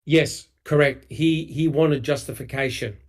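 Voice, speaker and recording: A man's voice: medium pitch, fairly even intonation, unhurried. A man co-hosting a podcast, talking heatedly and emphatically, swearing and mocking people with quoted lines. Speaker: podcast co-host. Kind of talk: casual true-crime discussion.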